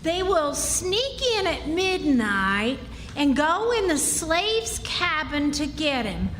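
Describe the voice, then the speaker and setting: An excitable country aunt with an American accent acted by a woman, extremely high and unhurried in pace. She frets theatrically in a radio play, in a hall with long reverb. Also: full character voice. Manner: fretful, theatrical. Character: Aunt Sally, anxious, excitable country housewife. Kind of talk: live radio drama acting. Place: historic club hall, live performance. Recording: echoey hall, faint background noise.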